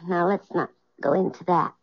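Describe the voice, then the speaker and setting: An actress in a 1970s radio drama playing a scheming, impatient wife who sweet-talks but is hard underneath. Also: female voice, fairly low pitch, moderate pace, slightly rough. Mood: soothing, firm. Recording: muffled vintage radio broadcast.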